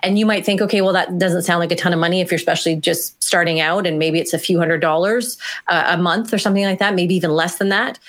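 A woman author being interviewed, talking in an animated, emphatic way with small fillers. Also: fairly low pitch, fast. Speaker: author and guest. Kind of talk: conversational interview answers.

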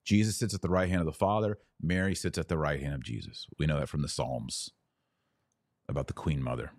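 Man, deep voice, dry close sound, didactic podcast host, conversational religious teaching, emphatic phrasing. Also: fairly even intonation, a little fast, no echo. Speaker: podcast host answering live audience questions.

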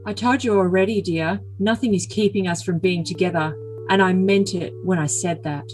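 A woman's voice: lowish voice, normal speed, natural voice, poor audio. A woman voicing an earnest, besotted young man with a solemn, stilted manner in an overly dramatic comic message reading. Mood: earnest, firm. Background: music.

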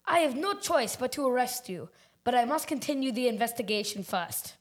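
A woman's voice: a little high, expressive, moderate pace. A young girl playing a bossy, suspicious police detective who questions bluntly in an amateur radio play. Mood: commanding. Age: child or teenager.

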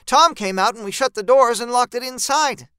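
A man's voice: extremely high pitch, moderate pace, pushed and bright, smooth, no room sound, studio quality, pitched above the performer's own voice. A man voicing a tough, urgent woman monster hunter in an audiobook, with an insistent, clipped delivery. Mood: urgent, defensive.

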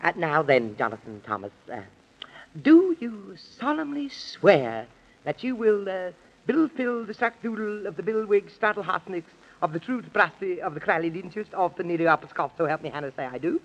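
An actor playing a pompous, frantic, stammering professor in a 1930s children's radio comedy. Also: male voice, high-pitched, very animated, moderate pace, heavily acted. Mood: blustering. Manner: stammering, repeating himself, overblown alliterative oratory. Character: frantic, pompous, loony male professor prosecuting in mock court. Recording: muffled vintage 1930s radio recording.

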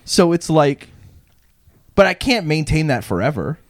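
A man's voice: fairly high pitch, big pitch swings, a little slow, no echo, clean studio sound. A young man co-hosting a podcast, congested from illness, joking crudely and arguing back in casual banter. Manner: crude, self-deprecating, argumentative. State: congested, recovering from covid. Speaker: podcast co-host.

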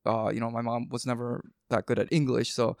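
A man whose first language was Korean, talking in an animated way on a casual podcast, often restarting his sentences. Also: mid-range voice, brisk, gravelly, no room sound, studio quality.

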